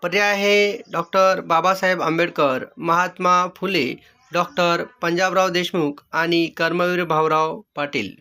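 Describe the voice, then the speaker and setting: A man reading out multiple-choice quiz questions and answers as a teacher, in a measured, formulaic way. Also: high voice, fairly even intonation, sharp tone, smooth. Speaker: exam-prep quiz teacher.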